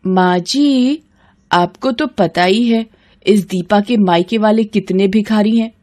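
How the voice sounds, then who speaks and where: A woman voicing a scheming, haughty young daughter-in-law in an animated moral story, taunting and commanding. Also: mid-range voice, natural voice.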